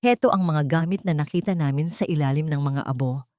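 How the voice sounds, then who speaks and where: Sad gentle older woman, voiced by a narrator. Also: deep voice, a little fast, no room sound, clean studio sound, close to an everyday voice. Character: sorrowful, kindly older neighbour woman.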